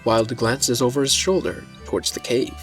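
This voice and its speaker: A man reads a scripted fantasy story as narrator. His voice sits at a middling pitch, with faint music running behind it. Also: normal speed.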